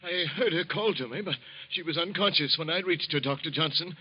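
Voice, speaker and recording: Brief: respectful butler, husky and fairly high, dull vintage radio sound. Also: male voice, a little fast. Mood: worried. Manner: polite, deferential. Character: loyal deferential male butler. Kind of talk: 1940s radio acting. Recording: dull old radio recording.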